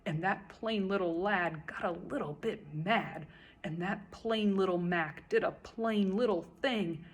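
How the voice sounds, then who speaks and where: A woman reciting a children's story in verse as a storyteller, with expressive, dramatic and deliberate phrasing. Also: mid-range voice.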